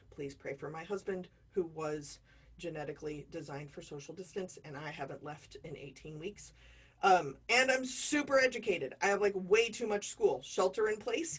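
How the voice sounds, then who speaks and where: A woman's voice: lowish voice, breathy edge. A woman in her forties from the United States, giving an online diversity training in a chatty, self-deprecating way with jokey asides. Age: 40s.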